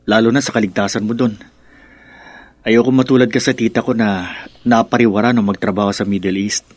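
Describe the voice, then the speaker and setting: A man's voice: lowish voice, fairly even intonation, fairly quick, dry recording, close to an everyday voice. A man playing a protective, doubtful Filipino husband in a radio drama, with a Filipino accent. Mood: worried.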